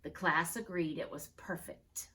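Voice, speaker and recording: A woman teacher reading a picture book aloud with expressive storytelling. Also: low-pitched, measured pace, slight background noise.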